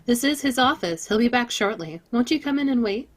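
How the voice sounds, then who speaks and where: A woman's voice: on the high side, lively intonation, fairly quick, background noise, close to an everyday voice. Woman reading a polite young sweetheart. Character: detective's cheerful young girlfriend, polite. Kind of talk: live old-time radio table read.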